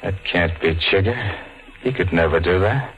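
An actor playing a jumpy, hard-boiled hoodlum in a 1940s radio thriller, talking tough, terse slang. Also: male voice, deep voice, moderate pace, close to an everyday voice. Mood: tense, suspicious. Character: jumpy hard-boiled hoodlum dancer hiding out, narrating his tale. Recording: dull old radio broadcast with music.

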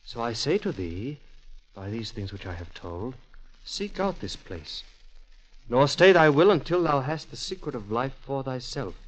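A man acting as an eager, obsessed young gentleman adventurer, earnest and intense, in old-time radio drama style. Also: mid-range voice, big pitch swings, measured pace. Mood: solemn. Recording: dull vintage radio recording.

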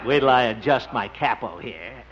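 A man's voice: medium pitch, expressive, normal speed, a loud crowd, heavy background noise, natural voice. An actor playing a nervous, lovestruck middle-aged man who stammers and fusses, in a 1950s radio comedy. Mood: flustered. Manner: flustered, stammering. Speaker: lead. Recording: muffled 1950s radio recording with music.

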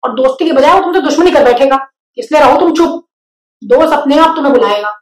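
A level-headed farm duck, played by a woman storyteller, lays out advice in a plain, lecturing way. The voice is high and sounds roomy. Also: fast, close to an everyday voice. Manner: advising, matter-of-fact. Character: sensible older female duck, lecturing.

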